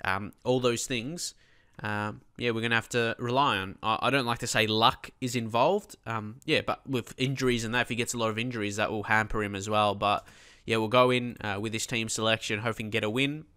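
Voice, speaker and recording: A man with a cold and a blocked nose, chatting casually as he commentates his own football management game, breaking into excited shouts at goals. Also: fairly low pitch, fairly quick, clear, resonant tone. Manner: chatty, many fillers, bursts of excitement at goals. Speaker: let's play host.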